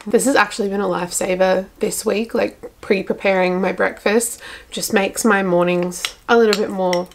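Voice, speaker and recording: A young woman in her twenties with an Australian accent, chatting casually to a handheld camera at home, relaxed and rambling with lots of fillers. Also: medium pitch, normal speed, a little hiss or hum. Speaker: vlogger. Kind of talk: casual vlog chat to camera. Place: small home room with plants, indoors. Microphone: camera at arm's length.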